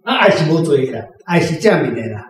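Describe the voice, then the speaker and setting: A man's voice: a little high, big pitch swings, quick pace, a little hiss or hum. An older man with a Taiwanese accent explains his views informally, repeating phrases for emphasis. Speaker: author answering readers' questions. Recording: very echoey room, distant microphone.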